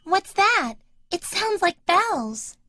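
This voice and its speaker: A woman voicing a sweet, curious, helpful little girl in an animated children's story, with an inquisitive, sympathetic manner. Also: very high-pitched, slow pace, background noise, full character voice. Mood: wondering.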